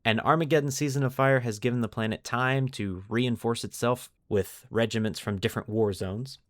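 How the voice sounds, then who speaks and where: A man's voice: on the low side, dry recording, clean studio sound. A man hosting a podcast, narrating fictional war lore like a storyteller and stressing key phrases. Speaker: podcast host.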